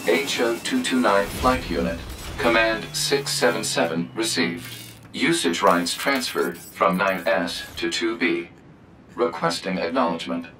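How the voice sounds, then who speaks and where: A man's voice: low voice, steady tone, unhurried. A man playing an emotionless support robot in a game, giving clipped, formal status reports and alerts. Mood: flat, procedural. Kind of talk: game voice acting, system announcements. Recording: roomy echo, game music behind.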